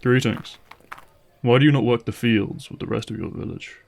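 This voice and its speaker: A man's voice: medium pitch, lively intonation, normal speed, vocal fry. A man playing a terse, dryly guarded vampire hunter in a fantasy audio roleplay. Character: wry, guarded male vampire hunter, gruff protective ally.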